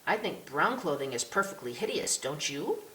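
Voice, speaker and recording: A woman's voice: deep voice, big pitch swings, moderate pace, pushed and bright, exaggerated voice. A woman voicing a spirited, chatty little French girl in an audiobook, with a pert, precocious manner. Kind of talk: audiobook character voice. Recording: roomy echo with clear hiss.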